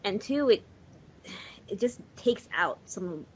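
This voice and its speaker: A woman's voice: mid-range voice, lively intonation, slow, a little hiss or hum. A woman chatting casually as a panelist on a podcast, recorded on a dull lo-fi voice chat. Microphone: USB or desktop mic covered with a sock.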